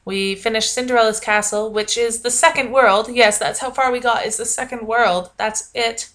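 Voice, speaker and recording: A young adult woman talking casually to camera, rambling and self-mocking with many fillers, with a naturally loud, projecting voice. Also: on the high side, fairly even intonation, moderate pace. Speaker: vlogger. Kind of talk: casual vlog chat.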